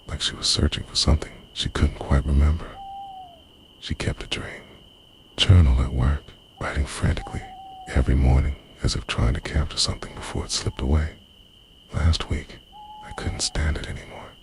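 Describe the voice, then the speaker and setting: A man with an extremely deep voice performs an intimate first-person ASMR story as a lovesick, obsessive lover. His delivery is breathy and half-whispered, with very wide swings in pitch. Faint night-time nature noise sits behind the voice. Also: darkish tone. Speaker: audio roleplay performer.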